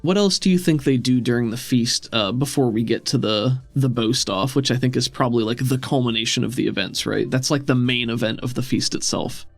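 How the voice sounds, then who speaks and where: A man's voice: medium pitch, fairly quick, few pauses. A man working as game master, narrating a tabletop roleplaying scene in a conversational, descriptive way. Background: music.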